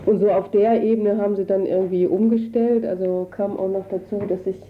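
A woman's voice: medium pitch, steady tone, a little fast. A woman from a refugee council answering interview questions in a chatty, casual way. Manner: chatty, with frequent "ne?" and "halt" fillers. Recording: tinny phone line with faint noise.

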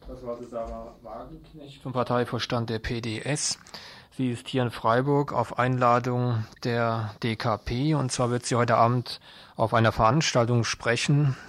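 A man's voice: mid-range voice, steady tone, moderate pace, a bit bright, dry recording. A man presenting local radio news and putting questions to a guest as interviewer.

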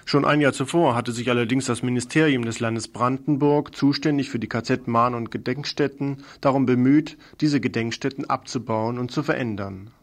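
A man's voice: mid-range voice, moderate pace, hardly any pauses. A man presenting a radio news programme, reading scripted reports.

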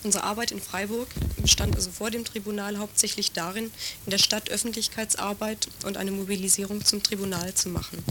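Woman reading a report in a flat tone. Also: medium pitch, a little fast, few pauses, background noise. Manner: even, read-aloud delivery. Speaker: activist group member.

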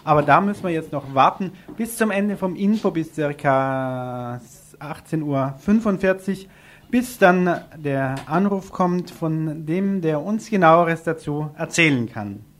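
A man's voice: a little high, lively intonation, measured pace. A man presenting a radio news magazine in an informal style.

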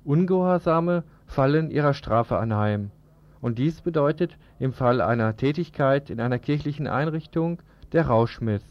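A man's voice: medium pitch, animated, normal speed. A man reading a scripted radio report as presenter, in a formal manner. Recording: slightly dull lo-fi radio recording.

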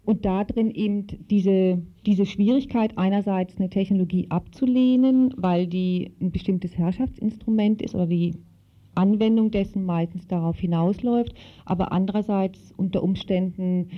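A woman with a mid-pitched voice is being interviewed as an activist guest. She explains things spontaneously and hesitantly, feeling for the right words. The recording is slightly dull and lo-fi. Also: normal speed. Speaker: interview guest, activist in a women's working group.

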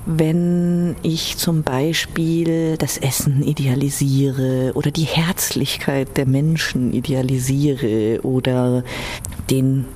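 A woman's voice: steady tone, slow pace, a little mellow. A woman giving a thoughtful personal interview account, searching for words and now and then repeating them. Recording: clearly noisy with low rumble.

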